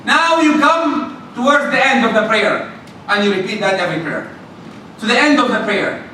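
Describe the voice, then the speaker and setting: A man with a loud voice preaching a lively, emphatic sermon in a mosque. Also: extremely high pitch, normal speed. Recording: echoey hall from far off, faint noise.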